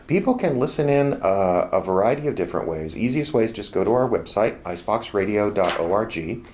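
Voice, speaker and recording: A man's voice: on the low side, expressive, a little fast, darkish tone, a little hiss or hum. A middle-aged American man explaining things thoughtfully and conversationally in a casual promotional interview. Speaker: radio theater founder and organizer.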